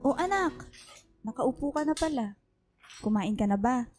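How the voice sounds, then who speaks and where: A woman playing a busy, affectionate working mother in a radio drama, speaking in a gentle, apologetic way. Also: sits high, animated, moderate pace, faint music behind. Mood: gently apologetic.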